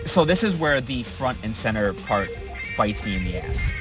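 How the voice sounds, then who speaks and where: A man casually recalling an experience off the cuff, halting and repeating words as he searches for phrasing. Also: fairly low pitch, very animated. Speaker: storyteller recounting a protest. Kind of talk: casual unscripted recollection. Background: music.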